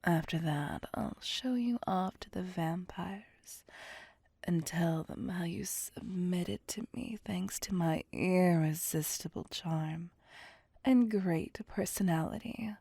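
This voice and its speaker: Woman playing a slow, breathy vampire seductress. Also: fairly low pitch, warm tone, dry recording, full character voice. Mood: playful, domineering. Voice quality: breathy, whispery, creaky. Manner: slow, teasing, coaxing and domineering. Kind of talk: ASMR roleplay, addressing the listener.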